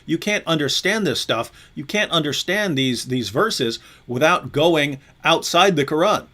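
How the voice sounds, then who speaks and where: Fairly high-voiced animated male host. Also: a little slow. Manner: animated, mimics opponents, addresses viewers directly. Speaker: show host. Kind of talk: discussion on a live show.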